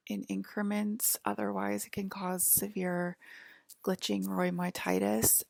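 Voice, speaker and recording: A woman doing a soft-spoken, whispery ASMR role-play as a calm alien guide, talking haltingly and matter-of-factly close to the mic. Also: mid-range voice, dry recording. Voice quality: breathy, whispery. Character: calm alien abductor guiding a patient aboard a UFO.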